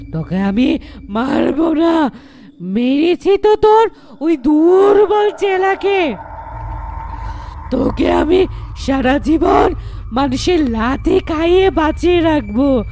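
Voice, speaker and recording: A woman voicing a vengeful, menacing female tree ghost in a horror cartoon, with drawn-out, taunting, theatrical delivery. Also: high-pitched, expressive, darkish tone, slight background noise. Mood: gloating, taunting.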